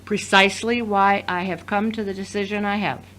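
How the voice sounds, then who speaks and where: A woman's voice: medium pitch, fairly even intonation, moderate pace, fairly bright tone, natural voice. Wounded, resolute wife played by a woman. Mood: hurt, reproachful. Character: betrayed, wounded, resolute wife confronting her husband. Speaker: actor in an audio drama. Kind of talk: scripted audio drama acting.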